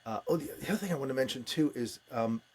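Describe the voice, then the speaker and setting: A man's voice: mid-range voice, fast. An American man hosting a podcast, talking casually as he interviews a guest. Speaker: podcast host.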